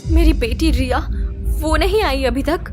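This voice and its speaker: A woman's voice: high voice, a little slow. An actress playing a proud, defiant ex-wife and protective mother in an audio drama. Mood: anxious, apologetic. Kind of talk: Hindi audio drama acting. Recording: music bed behind voice.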